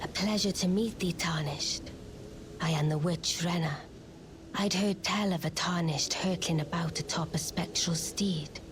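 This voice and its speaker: An actress playing an enigmatic young witch in a fantasy game, aloof and coolly courteous, with soft, breathy, archaic courtly speech. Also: low-pitched, background noise. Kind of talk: dramatic fantasy game acting.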